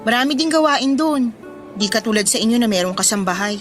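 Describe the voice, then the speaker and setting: A woman's voice: on the high side, animated, fast talker, close to an everyday voice. A woman playing a modest, self-doubting teenage schoolgirl in a Filipino radio drama, speaking in an earnest, conversational, pleading way with a Filipino accent. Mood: defensive, pleading. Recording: music under the dialogue.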